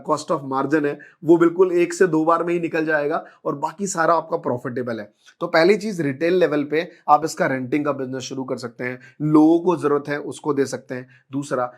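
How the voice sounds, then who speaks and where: Indian guy doing a sales demo, slightly high voice, explains stuff, drops english words in. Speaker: product presenter and seller. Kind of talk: informal product demonstration and sales pitch.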